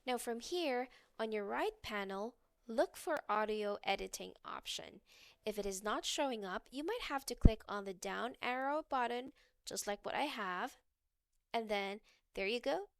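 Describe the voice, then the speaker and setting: A woman's voice: on the high side, creaky, no room sound. A woman reading a scripted how-to narration, giving step-by-step instructions as a tutorial narrator.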